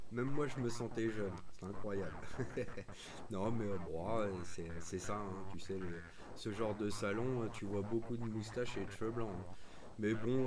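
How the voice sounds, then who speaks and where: Low-voiced man over crowded radio chatter. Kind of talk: casual radio chat.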